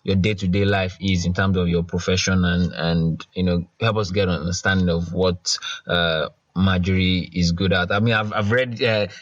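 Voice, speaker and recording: Man podcast co-host, low-pitched, chatty and rambling, close dry mic. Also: fairly even intonation, normal speed, no echo, muffled recording. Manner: chatty, rambling, laughs often. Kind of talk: casual interview chat.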